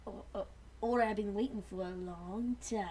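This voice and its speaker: A woman joking crudely and casually with friends as she hosts a fan fiction reading, giggly and quick to slip into mock voices. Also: medium pitch, noisy background. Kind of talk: casual joking group chat.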